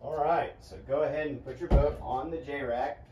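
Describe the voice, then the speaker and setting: A man's voice: medium pitch, normal speed, muffled recording, background noise. Young man giving plain how-to directions outdoors. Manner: relaxed, plainspoken step-by-step directions. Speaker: kayaker demonstrating gear. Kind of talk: casual how-to instruction. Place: outdoors under a carport at night. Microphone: phone camera, moving around the car.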